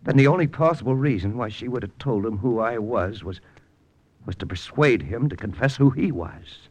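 An actor playing a hard-boiled American army intelligence lieutenant, tense and bitter, in old-time radio suspense style. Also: male voice, mid-range voice, lively intonation, moderate pace, darkish tone, highs cut off, close to an everyday voice. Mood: bitter, agitated. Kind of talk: 1940s-50s radio drama, first-person suspense narration. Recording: noisy old radio recording.

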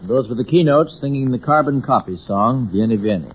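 A man's voice: medium pitch, expressive, a little fast, over loud music. A man hosting an old-time radio variety show with scripted introductions and light comic banter. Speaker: radio show announcer and host. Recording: noisy, dull old radio recording.

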